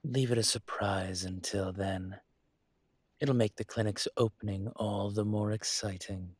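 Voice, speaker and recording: A man playing a courtly, possessive dragon mafia boss in an intimate audio roleplay, speaking in a suave, teasing, formal way with a husky voice. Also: on the low side, steady tone, a little slow, dry recording. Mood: warm, celebratory. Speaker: voice actor.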